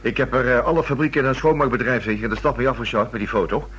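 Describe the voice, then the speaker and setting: An informal male detective played by an actor in a radio play explains his findings. His voice sits at a middle pitch, with faint noise from the old recording. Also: fairly even intonation, racing, a bit bright, dull audio, natural voice. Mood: explaining. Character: informal male police detective reporting legwork. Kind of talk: Dutch radio drama dialogue.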